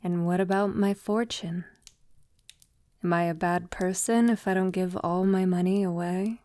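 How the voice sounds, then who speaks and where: A woman playing a gentle, conscience-troubled vampire lover in an intimate ASMR audio roleplay, speaking softly, tenderly and hesitantly. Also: on the low side, steady tone, unhurried, dry recording, lightly acted. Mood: pensive, troubled. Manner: soft, tender, hesitant, often dropping to a whisper. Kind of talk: ASMR girlfriend roleplay, soft intimate acting.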